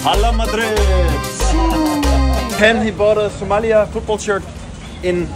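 A man's voice: sits high, fairly even intonation, measured pace. A man in his thirties vlogging as he walks through a busy outdoor market, chatty and upbeat, greeting people as he passes. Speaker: travel vlogger. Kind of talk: walking vlog to camera. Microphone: handheld action camera at arm's length. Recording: music under voice. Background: music bed.

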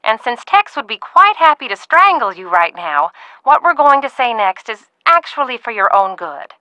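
An actress playing a fussy older aunt who runs a ranch in a video game, genteel and stern, often calling people dear. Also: female voice, on the high side, very animated, normal speed, sharp tone, no echo, rough recording. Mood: prim scolding. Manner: prim, genteel scolding with frequent "dear".

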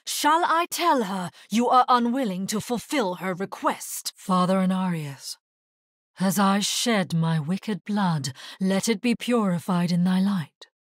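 An actress voicing a solemn, grave necromancer in a video game, with measured, formal delivery. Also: female voice, mid-range voice, dry recording, clean studio sound, lightly acted. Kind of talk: scripted video game character voice lines.

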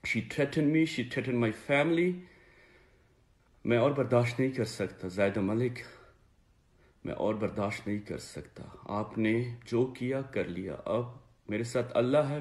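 Pakistani guy in his 30s talking to camera, deep voice, earnest n halting, making a personal plea. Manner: earnest, halting, repeats phrases for emphasis. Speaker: father making a personal video appeal. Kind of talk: recorded personal statement to camera. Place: small indoor room, seated before a plain wall.

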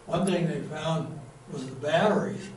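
A man in the audience of a small talk, sharing a chatty recollection with animated emphasis. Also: on the high side, unhurried. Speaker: audience member. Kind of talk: comment from the audience. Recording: very echoey room, speaker far from mic, faint noise.

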